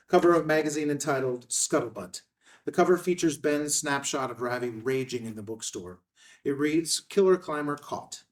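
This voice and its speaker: A man reading stage directions aloud as the narrator of a screenplay table read. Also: mid-range voice. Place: indoor room at a filmed table read. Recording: slightly distant room microphone.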